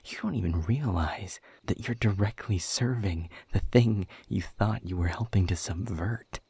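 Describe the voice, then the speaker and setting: Man acting a husky, very deep, weary demon. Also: warm tone, no room sound, heavily acted. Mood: angry, scornful. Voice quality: husky, breathy, often dropping toward a whisper. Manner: measured, deliberate, sardonic, formal phrasing. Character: weary, bitter, guarded male demon captive, quietly defiant. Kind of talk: scripted audio roleplay monologue.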